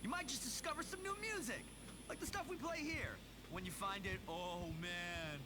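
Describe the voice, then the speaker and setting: A man's voice: high-pitched, normal speed, close to an everyday voice. An actor playing a disaffected, sardonic male slacker radio DJ, doing parody station patter in a video game. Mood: casual, sardonic. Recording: loud music over the voice.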